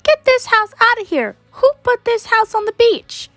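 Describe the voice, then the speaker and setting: A woman's voice: extremely high pitch, big pitch swings, normal speed, fairly bright tone, no echo, soft background music, voice pushed up, full character voice. A young woman voicing a bossy, scoffing contest judge in a picture book, blustery and emphatic. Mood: bossy, outraged.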